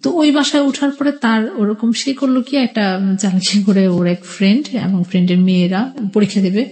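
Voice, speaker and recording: A middle-aged woman with a Bangladeshi accent speaks as a guest on a radio interview. Her voice sits at an ordinary pitch. She narrates her own life in a conversational way, relaying past exchanges in quoted speech. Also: normal speed, darkish tone. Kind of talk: personal storytelling in a radio interview.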